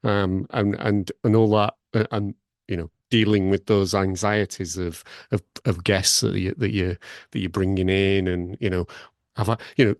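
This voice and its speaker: Low-voiced man hosting a podcast. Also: level tone, no echo, studio quality. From Blackburn, northern England. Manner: halting, self-deprecating. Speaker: podcast host, academic consultant. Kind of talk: casual conversational interviewing.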